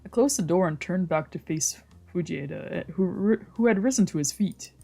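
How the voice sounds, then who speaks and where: A woman's voice: on the low side, expressive, moderate pace, vocal fry, mellow, faint music behind. A woman streamer reading a visual novel aloud as narration, with casual commentary of her own.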